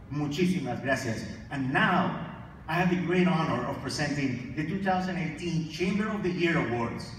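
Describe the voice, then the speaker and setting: Man speaking formally from a podium. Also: medium pitch. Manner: formal, emphatic speech-giving with rising calls for applause. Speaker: chamber of commerce leader presenting awards. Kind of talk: prepared speech at a podium. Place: large convention ballroom stage. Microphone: podium microphone, filmed from far in the audience. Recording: echoey hall, distant mic, audience noise. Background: audience ambience.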